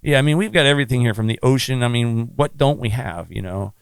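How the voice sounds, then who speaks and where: A man with a low, raspy voice, chatting bluntly as a musician guest on a podcast. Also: animated, fast, no room sound. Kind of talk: casual podcast interview.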